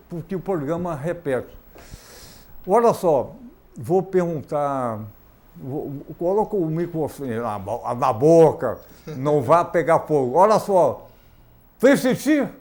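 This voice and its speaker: A male talk-show host, unhurried and fond of jokes. His voice sits a little high for a man, and he speaks in a playful, teasing way in a studio. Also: expressive, darkish tone. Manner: playful, joking, slow and drawn out. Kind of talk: casual TV interview. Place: university TV studio.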